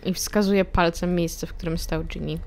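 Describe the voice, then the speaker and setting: A woman playing a tabletop role-playing game, describing her character's actions in a brisk, decisive way. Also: on the low side, steady tone, darkish tone, a little hiss or hum. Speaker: player.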